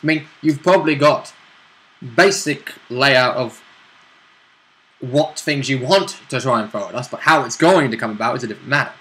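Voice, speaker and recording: A man's voice: mid-range voice, moderate pace, fairly bright tone, low-quality recording. A man who plays in a tabletop campaign, talking it over in casual chat. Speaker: player in the roleplay campaign.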